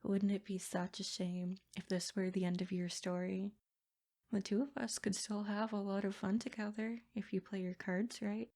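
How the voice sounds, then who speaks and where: Woman acting sadistic creaky-voiced haughty princess. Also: mid-range voice, little pitch movement, normal speed, warm tone, lightly acted. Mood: smug, threatening. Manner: haughty, silky menace, formal regal phrasing. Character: sadistic, possessive yandere princess, smug captor. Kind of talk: ASMR roleplay, scripted character monologue.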